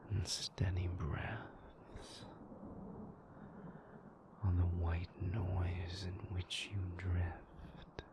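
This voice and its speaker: A man playing a moody, guarded but tender vampire lover in a soothing, intimate, whispered ASMR roleplay. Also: flat delivery. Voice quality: breathy whisper with gravelly low undertone. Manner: slow, soft, soothing, intimate delivery.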